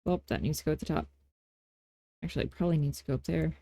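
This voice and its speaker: A woman hosting a casual, unscripted software tutorial, talking in a playful, folksy way with informal grammar and self-deprecating asides. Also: low-pitched, big pitch swings, quick pace, vocal fry, no room sound, studio quality. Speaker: tutorial host.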